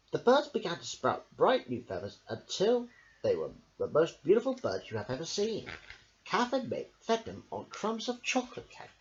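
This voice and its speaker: A man reads a children's storybook aloud, his voice leaping up and down in a hugely animated sing-song. He sits at a middling pitch and drops in casual asides, and the sound comes through a dull lo-fi home recording. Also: slight background noise. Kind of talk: reading a children's picture book aloud.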